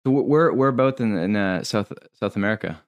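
A man's voice: on the low side, animated, fairly quick, smooth, dry recording. A man hosting a podcast from his own small studio, keeping the chat going with short, friendly prompts. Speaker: podcast host. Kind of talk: casual chat.

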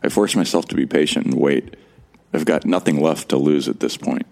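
A man playing a calm, wry, self-deprecating amnesiac survivor who narrates an audio drama in a gravelly, measured, introspective way. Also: a bass voice.

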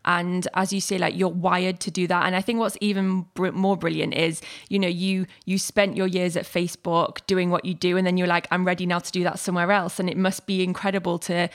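A woman hosts an interview podcast, encouraging and chatty, with plenty of fillers as she asks her questions. Her voice is fairly low with a gravelly fry running through it. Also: level tone, fairly quick, hardly any pauses. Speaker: podcast host. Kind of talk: conversational interview.

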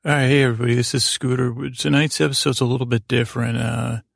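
A man improvises a sleepy-time podcast in a voice thick with fry and gravel. His pitch barely moves as he meanders, repeats himself and drifts off into whimsical asides. The sound is close and very dry, with no room around it. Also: fairly even intonation, fast, no echo. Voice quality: creaky, gravelly. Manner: meandering, stammering, repeats words and trails off; whimsical, absurd tangents in an earnest tone. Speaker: podcast host. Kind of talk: rambling improvised bedtime podcast monologue.

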